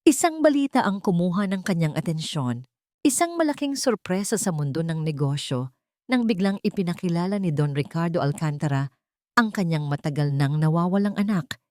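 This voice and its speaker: A woman with a lower voice tells a dramatic love story with expressive, scripted delivery. The recording is dry and close, with no room sound. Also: no room sound. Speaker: narrator.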